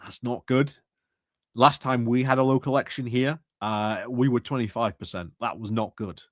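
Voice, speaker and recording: A man's voice: medium pitch, fairly even intonation, normal speed, no room sound, studio quality. A man hosting a stream, giving rambling, sardonic commentary on local politics with frequent restarts and chuckles. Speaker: stream host commenting on council meetings.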